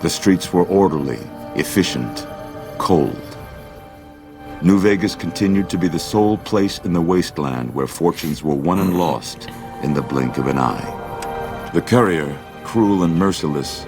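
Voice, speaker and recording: A deep-voiced male storyteller narrating a video game epilogue. He is grave, solemn and deliberate, with music clearly playing underneath.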